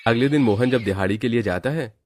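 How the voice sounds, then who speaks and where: A man's voice: medium pitch, fast, darkish tone. A man reading scripted narration for a cartoon moral story as its storyteller. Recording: background music under the voice.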